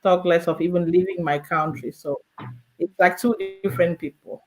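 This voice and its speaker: A woman's voice: fairly low pitch, fairly even intonation, moderate pace, low-quality recording. A woman in her thirties with a Cameroonian accent, answering interview questions in a conversational, storytelling way. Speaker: guest, immigrant in politics.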